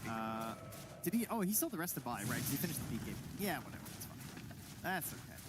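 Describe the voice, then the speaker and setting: A man's voice: medium pitch, very animated, quick pace. A man giving conversational live analysis as an esports co-commentator. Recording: clear background noise behind voices.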